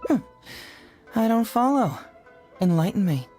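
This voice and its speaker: A man's voice: high voice, very animated, measured pace, dark tone. A young man playing a clever, playful, self-assured detective in a video game, articulate and teasing. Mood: playful, smug. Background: game music.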